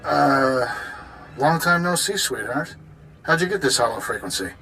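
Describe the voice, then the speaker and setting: Bright-voiced, slick rogue villain. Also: male voice, medium pitch, expressive, measured pace, faint music behind, low-quality recording. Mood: awkward, wary. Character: slick, exasperated male rogue, smug villain. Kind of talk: video game cutscene acting.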